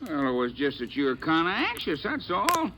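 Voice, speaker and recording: An actor playing a testy, defensive American assayer in an old radio western, talking plainly and with exasperation. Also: male voice, on the high side, wildly swooping pitch, moderate pace. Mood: defensive, exasperated. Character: testy, defensive frontier assay office man. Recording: noisy vintage radio recording.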